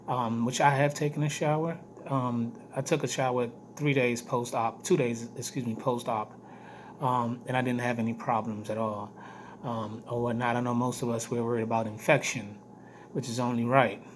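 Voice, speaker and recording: A man with a hoarse, weak voice giving a casual video diary update, speaking haltingly and repeating phrases for emphasis. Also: medium pitch, level tone. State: hoarse, weak voice after throat surgery. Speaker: vlogger giving health updates. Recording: noticeable background noise.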